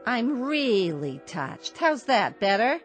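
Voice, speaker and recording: Brief: a scornful middle-aged wife, higher voice that swoops and jabs, soft music under. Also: female voice, very animated, takes it slowly. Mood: sarcastic. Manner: sarcastic, scornful, biting. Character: bitter sharp-tongued middle-aged wife Phyllis. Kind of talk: radio drama acting.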